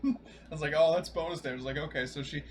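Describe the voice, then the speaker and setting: A man's voice: fairly high pitch, a little fast, a little hiss or hum. A man chatting casually as a player in a tabletop roleplaying game. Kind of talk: casual chat.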